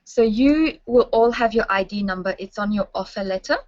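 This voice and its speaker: A woman's voice: medium pitch, normal speed, darkish tone, no echo. Woman, webinar presenter, friendly and instructive, dry muffled sound. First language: French. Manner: friendly instructive, checks in with "okay?". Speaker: university staff presenter.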